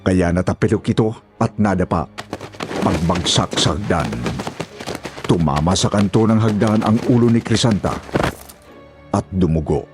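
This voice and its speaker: A man's voice: sits low, moderate pace, pauses often, music in the background. A man reading scripted, dramatic narration as a horror story narrator.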